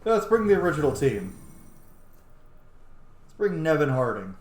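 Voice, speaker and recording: A man's voice: on the high side, big pitch swings, moderate pace, echoey room. A man giving casual, reactive commentary while playing through a game, chatty and informal. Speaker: gamer, channel host.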